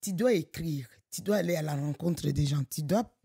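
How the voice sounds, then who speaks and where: A middle-aged woman from Congo, director of a local association, speaking in an animated, emphatic way with frequent restarts. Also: sits low, moderate pace, gravelly, husky, dry recording, clean studio sound. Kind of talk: conversational podcast interview.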